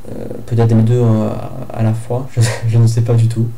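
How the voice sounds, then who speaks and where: A man's voice: mid-range voice, completely flat delivery, normal speed, dark tone, slight background noise. A young man from France with a head cold, chatting hesitantly through a casual book review vlog with many fillers and restarts. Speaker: book vlogger.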